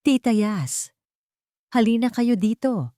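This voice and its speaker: A woman voicing a devoted nanny in an audiobook drama, gentle and reassuring. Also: a little high, animated, slow, no echo, clean studio sound, voice pushed up. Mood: calling out. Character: devoted, soothing young nanny, female.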